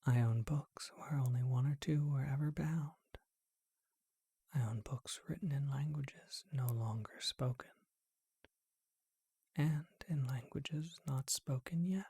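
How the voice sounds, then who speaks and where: A performer voicing a charming, courtly male vampire who keeps a bookshop, whispering slowly and deliberately in an ASMR roleplay. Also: medium pitch, warm tone, breathy edge, dry recording, studio quality, heavily acted. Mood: courtly, inviting.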